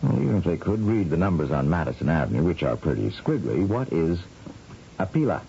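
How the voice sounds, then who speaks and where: An actor playing a wry, bantering, self-mocking husband in a 1970s radio drama. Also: male voice, low-pitched, very animated, brisk, vocal fry, dark tone, exaggerated voice. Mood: wry, teasing. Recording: noisy, dull vintage radio broadcast.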